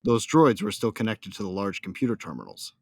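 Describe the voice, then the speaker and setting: A man's voice: fairly low pitch, sing-song, brisk, gravelly, darkish tone, no room sound, clean studio sound, exaggerated voice. A man playing a devoted young starship captain, narrating his own story in a science fiction audio drama. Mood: earnest, tender. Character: young newlywed starship captain, loyal son of smugglers, devoted husband. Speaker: first-person narrator and character.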